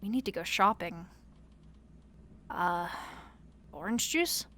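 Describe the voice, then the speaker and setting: A woman playing a tired, wry, sleepless roommate in a soft-spoken audio roleplay, talking casually and haltingly with fond, dry teasing. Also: mid-range voice, animated, slight background noise. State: sleepy, weary.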